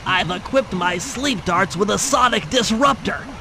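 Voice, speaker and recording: A man's voice: high-pitched, unhurried, creaky, noisy background. A man voicing a nerdy, brainy young cartoon turtle who briefs heist plans in a precise, pedantic, nasal voice. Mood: explaining, precise. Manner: precise, pedantic, wordy, self-assured. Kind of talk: scripted cartoon game mission briefing.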